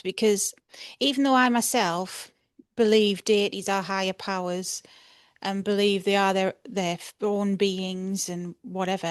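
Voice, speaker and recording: A woman chats casually as an interview host. Her voice sits at an average pitch, and the sound is dry with hardly any room on it. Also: no echo.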